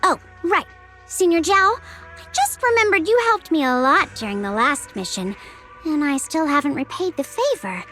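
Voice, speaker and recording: A woman's voice: high-pitched, big pitch swings, normal speed, a bit bright, faint music behind. A woman playing a playful, teasing junior colleague in an anime-style game, coy and sing-song. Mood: coy, friendly.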